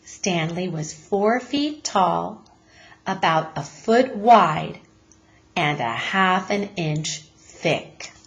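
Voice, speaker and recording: Teacher lady reading a kids story, slow and very expressive. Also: lowish voice, vocal fry. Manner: expressive, deliberate. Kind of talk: children's story read-aloud.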